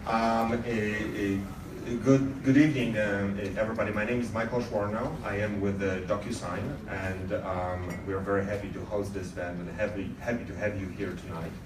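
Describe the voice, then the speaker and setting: A man with a Polish accent welcomes an audience, his lowish voice stumbling through ums and restarts. He is picked up from a distance in an echoey venue, with clear room noise behind him. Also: steady tone, moderate pace. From Poland. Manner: hesitant, many ums and restarts. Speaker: event host from sponsor company. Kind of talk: welcome speech at live event. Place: large event venue indoors.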